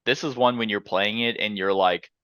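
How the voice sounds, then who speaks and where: Animated deep-voiced man chatting. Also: moderate pace, clean tone, no echo. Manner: animated, profane, rambling storyteller. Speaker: board game show host. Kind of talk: casual chat.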